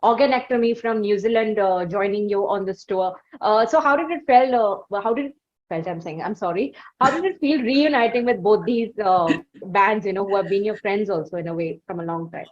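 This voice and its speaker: A woman interviewer with an Indian accent speaks on a dull, lo-fi recording. Her voice sits at a medium pitch, and she builds her questions in a hesitant, roundabout, conversational way. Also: fairly quick. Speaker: interviewer and podcast host. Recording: dull, lo-fi audio.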